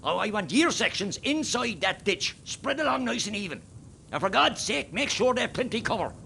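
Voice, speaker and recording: An actor with an Irish accent playing an impatient, forceful rebel column commander giving orders in a radio play. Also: male voice, sits high, big pitch swings, moderate pace, creaky, slight background noise, heavily acted. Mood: forceful, urgent. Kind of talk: radio play acting, shouted military orders.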